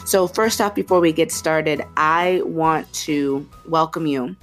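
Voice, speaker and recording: A low-voiced woman in her thirties hosts a chatty podcast through a head cold. Her voice is stuffy and nasal, and she rambles casually with frequent "um"s and restarts. Soft music sits faintly underneath. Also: slow pace. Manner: chatty, many fillers and restarts. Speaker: podcast host. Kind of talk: casual chat.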